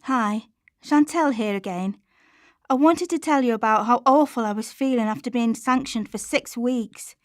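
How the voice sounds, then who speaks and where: A woman's voice: a little high, normal speed, dry recording, clean studio sound, natural voice. A woman playing Chantelle, an anxious struggling mother confiding in a radio play monologue. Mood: downcast, confiding. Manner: confiding, plain-spoken, colloquial.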